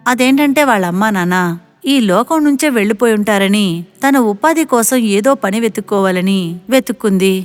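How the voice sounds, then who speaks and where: A woman narrating a scripted moral story. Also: mid-range voice.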